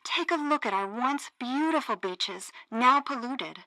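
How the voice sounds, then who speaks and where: Perky, high-pitched radio co-host. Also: female voice, lively intonation, measured pace, pushed and bright, no echo, full character voice, rough recording. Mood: earnest. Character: perky, earnest young female radio co-host. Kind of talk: satirical radio news broadcast.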